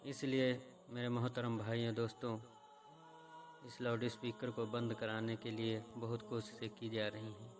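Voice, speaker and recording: Man giving religious talk, flat pitch. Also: moderate pace, over music. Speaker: presenter of religious videos. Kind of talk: religious explainer talk addressed to viewers.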